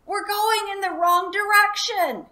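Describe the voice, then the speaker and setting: Storybook boy, brave and cheerful; played by a woman reading, high and eager. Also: a little slow, exaggerated voice. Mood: excited. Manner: excited, earnest.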